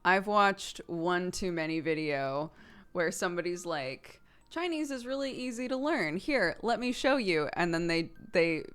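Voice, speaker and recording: A woman's voice: on the low side, animated, measured pace, a bit bright, clear, resonant tone. A woman in her thirties, a streamer chatting casually and rambling while playing a video game, laughing often.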